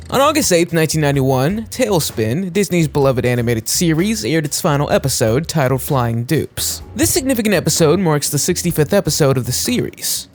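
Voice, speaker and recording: Higher-pitched man narrating over music. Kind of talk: scripted video narration. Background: background music.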